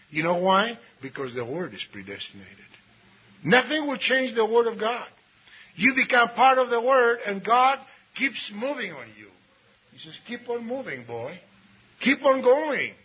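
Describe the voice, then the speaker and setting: A man preaching a sermon, swinging between low asides and raised exclamations and reading scripture slowly and deliberately. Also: husky. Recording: dull lo-fi recording with faint noise.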